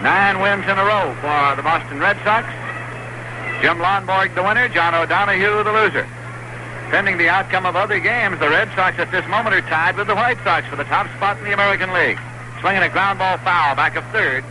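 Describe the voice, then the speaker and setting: A man calling baseball play-by-play on the radio in a measured, steady manner. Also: sits high. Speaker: play-by-play announcer. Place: stadium broadcast booth. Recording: 1960s AM radio broadcast with stadium crowd noise. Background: crowd murmur.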